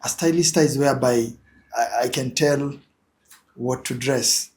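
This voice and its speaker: A man's voice: mid-range voice, measured pace, a little mellow. Hesitant Kenyan man in an interview. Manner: hesitant, many fillers and repeated words. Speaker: guest, image consultant. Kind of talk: interview answers.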